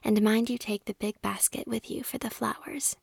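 A woman's voice: a little high, level tone, normal speed, creaky, darkish tone, slightly rough, no echo, clean studio sound, full character voice. A woman whispering as the miller's drowsy wife. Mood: admiring.

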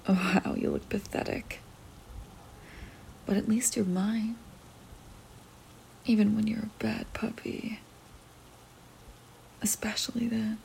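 A woman voicing a dominant, teasing young woman in a whispered ASMR roleplay, speaking in a breathy whisper with a slow, commanding manner. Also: mid-range voice, little pitch movement, mellow, poor audio.

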